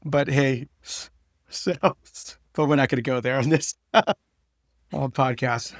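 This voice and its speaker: Gravelly-voiced joking father podcast host. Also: mid-range voice, fairly even intonation, slow pace, dry recording, studio quality. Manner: loose, joking, many fillers. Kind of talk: casual chat.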